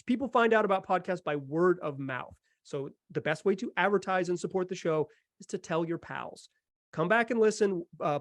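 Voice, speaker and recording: High-pitched man hosting a sports podcast. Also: no room sound, clean studio sound. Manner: enthusiastic, emphatic. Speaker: podcast host. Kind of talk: casual sports chat.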